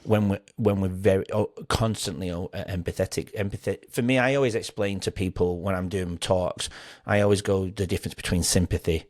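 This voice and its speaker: Man, English accent, low voice, podcast host, casual conversational interviewing, dry sound. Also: fairly even intonation, brisk, few pauses, a little mellow, no echo. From Britain.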